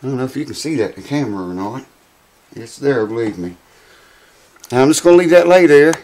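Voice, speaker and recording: A man, hoarse and losing his voice, chatting casually to camera in a small home workshop. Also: mid-range voice, lively intonation, fairly quick, darkish tone. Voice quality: raspy. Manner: rambling, folksy, swears casually. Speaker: guitar repair vlogger. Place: small cluttered home workshop with mounted animal heads, indoors.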